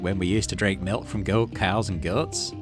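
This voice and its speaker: A man's voice: low-pitched, steady tone, moderate pace, music in the background, close to an everyday voice. A man voicing an easygoing, jokey farmer dad in a sci-fi game, with a folksy, playful manner.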